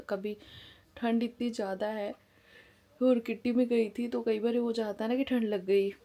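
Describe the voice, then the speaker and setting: A woman's voice: medium pitch, mellow. Frank, chatty woman in her forties. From India. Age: 40s. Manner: frank, chatty, talks plainly and openly. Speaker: family vlogger. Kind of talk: casual chat to camera. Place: at home.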